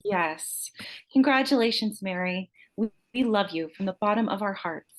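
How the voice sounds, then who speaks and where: A woman's voice: mid-range voice, expressive, normal speed, natural voice, poor audio. A woman playing a gossipy, insincere beauty queen of twenty-one at a script table read. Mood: gushing sympathy. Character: gossipy twenty-one-year-old tattooed beauty queen, falsely sympathetic.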